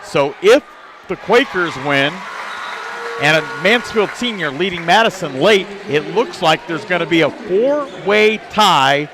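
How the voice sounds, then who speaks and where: A man's voice: sits high, animated, measured pace. An American man reporting scores in a broadcast sports update. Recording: noisy gym with crowd din.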